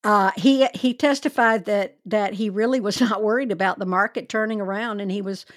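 A woman hosting a true crime podcast, telling the case in a chatty, animated, wry way. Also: mid-range voice, moderate pace, dry recording, studio quality. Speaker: podcast host.

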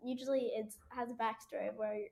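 Woman interviewer, bit high voice, says like a lot, casual questions. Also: fairly even intonation, fast talker, vocal fry, a little mellow. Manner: casual, uses "like" often.

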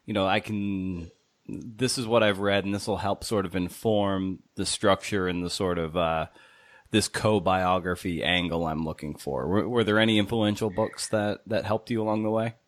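A man's voice: low-pitched, steady tone, moderate pace, no echo. A man hosting a casual podcast interview, informally feeling his way through his questions. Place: home office.

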